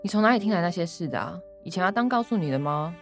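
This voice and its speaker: An actress playing a blunt, impatient elder daughter, curt and demanding, with a Taiwanese accent, in a radio drama. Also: female voice, on the low side, lively intonation, rapid-fire, soft background music. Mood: prying.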